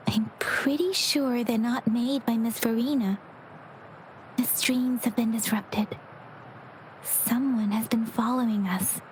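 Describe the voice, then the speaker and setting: A woman voicing a calm, thoughtful young woman in a video game, measured and gently earnest. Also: on the high side, slight background noise, poor audio. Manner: measured, analytical.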